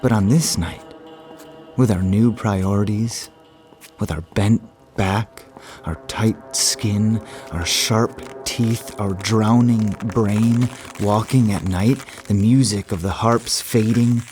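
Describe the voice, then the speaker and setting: An actor playing a haunted man who confides a dark secret in a halting, deliberate horror monologue, with repeated broken phrases. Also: fairly low pitch, fairly even intonation. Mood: hushed, uneasy. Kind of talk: slow, scripted dramatic monologue for an audio horror drama. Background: music.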